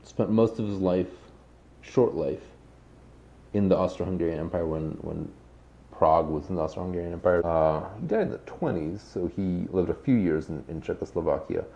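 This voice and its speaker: A man's voice: low voice, moderate pace, vocal fry, dark tone, poor audio. A man, a guest author, explaining history in a thoughtful conversational way with frequent restarts. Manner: thoughtful, many restarts and hedges.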